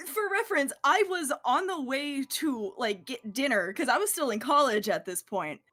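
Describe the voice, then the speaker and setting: A woman's voice: high voice, lively intonation, moderate pace, a bit bright. A young adult woman chatting casually as a cast member on a livestream. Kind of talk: casual chat. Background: music.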